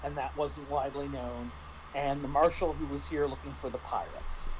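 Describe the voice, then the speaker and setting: A man chats casually, in the manner of a game master talking shop. He feels his way through sentences, repeating words and starting again. His pitch sits in an ordinary middle range, and there is faint noise behind him. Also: steady tone, normal speed. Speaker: game master reviewing a tabletop RPG. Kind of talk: casual discussion.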